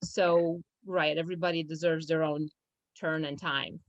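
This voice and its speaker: A woman's voice: low-pitched, steady tone, moderate pace, no echo, highs cut off. A young woman from Romania, a law firm's business manager, talking casually in an interview, hesitant with many fillers and restarts.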